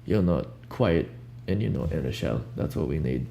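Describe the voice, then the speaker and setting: A young man improvising a soft-spoken ASMR roleplay as a cool, ominous recruiter, talking in a casual, blunt, unhurried way over hiss and hum. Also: very deep voice, expressive, gravelly. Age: young adult. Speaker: ASMR roleplayer playing a job interviewer. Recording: audible background hiss and hum.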